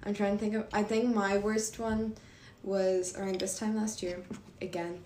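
A young adult woman co-hosting a podcast, telling stories in casual chat with many fillers and lively quoted asides. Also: medium pitch, moderate pace, a little hiss or hum. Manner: animated storyteller, many fillers. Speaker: podcast co-host. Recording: roomy echo.

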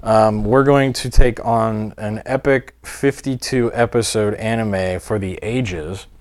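A man's voice: fairly low pitch, fairly even intonation, takes it slowly, a little mellow. A man hosting a live stream in casual chat, joking loosely and swearing freely. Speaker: show host. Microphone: desk mic, shared setup.

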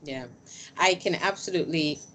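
Female interviewer, notably low voice, chatty and emphatic delivery, light background noise. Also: moderate pace, a bit bright. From grew up on a small island. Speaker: interviewer, host. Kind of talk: casual conversational interview.

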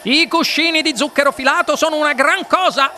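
A storybook narrator voicing an eccentric, excitable, impatient candy-factory owner in a theatrical, exclamatory way. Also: high-pitched, brisk, soft background music, voice pushed up. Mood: gleeful, enthusiastic.

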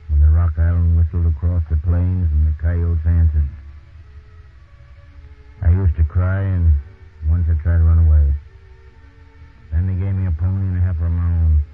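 A man's voice: sits low, level tone, normal speed, pauses often, soft background music, natural voice. An actor playing a weary, bitter displaced farmer, telling his tale in plain folksy phrases in a 1930s radio drama. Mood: wistful. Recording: muffled old 1930s radio recording.

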